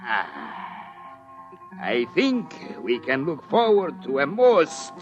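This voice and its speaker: An actor who stammers and then gloats. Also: male voice, medium pitch, wildly swooping pitch, takes it slowly. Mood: gloating. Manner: rattled stammering, then sly and pleased. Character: flustered Mexican male hotel manager, greedy and superstitious. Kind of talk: 1950s radio drama acting. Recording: dull old radio transcription with faint music.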